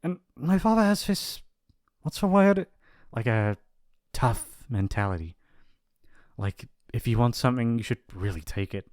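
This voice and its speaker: A man's voice: mid-range voice, lively intonation, unhurried, a little mellow, dry recording, clean studio sound, natural voice. A man playing a shy, apologetic young mafia boss's son in an intimate ASMR roleplay, with a hesitant, rambling, awkward delivery. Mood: reluctant, earnest.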